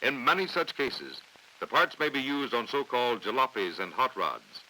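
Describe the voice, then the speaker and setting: An American man reading scripted training film narration in a formal, authoritative, measured way on a vintage 1950s soundtrack. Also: mid-range voice, very animated, pushed and bright, poor audio. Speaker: documentary narrator.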